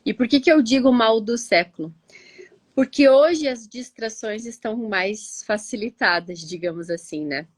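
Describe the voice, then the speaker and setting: A woman's voice: fairly high pitch, moderate pace, dry recording. A stuffy-nosed woman teacher from Curitiba with a Brazilian Portuguese accent, explaining in a chatty live talk, often tagging on "né?". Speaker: teacher and live show co-host. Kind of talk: casual explanatory chat.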